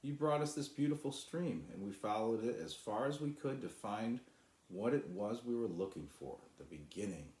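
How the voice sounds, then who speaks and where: A man's voice: lowish voice, lively intonation, moderate pace, a little mellow, pitched above the performer's own voice, natural voice. A man voicing an earnest, hopeful young boy in a children's storybook, with an encouraging manner. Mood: earnest, reassuring. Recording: roomy echo.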